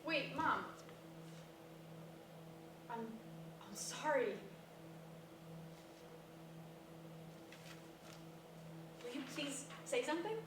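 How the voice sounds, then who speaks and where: A woman's voice: sits high, takes it slowly. A woman in a staged play reading, playing a sarcastic, defensive young college-dropout daughter. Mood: guilty, subdued. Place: echoey room at a staged reading, far mic. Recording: distant mic, echoey noisy room.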